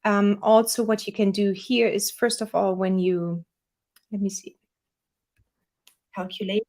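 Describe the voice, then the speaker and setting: A woman with a German accent, the owner of a small software company, walking viewers through a software tutorial in a relaxed, chatty way with fillers. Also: mid-range voice, measured pace. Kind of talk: screen-share software tutorial.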